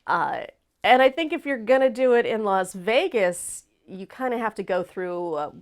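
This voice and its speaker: A woman's voice: mid-range voice, lively intonation, moderate pace. A woman hosting a casual live talk show, speaking frankly, laughing often and reading out viewer comments. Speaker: host. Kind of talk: casual chat.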